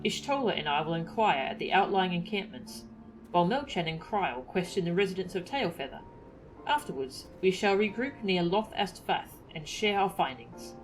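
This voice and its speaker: A woman voicing an earnest, pompous young gentleman in a fantasy game, speaking formally and precisely. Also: lowish voice, sing-song, normal speed, faint music behind, poor audio. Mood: formal, brisk. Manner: formal, precise, self-important.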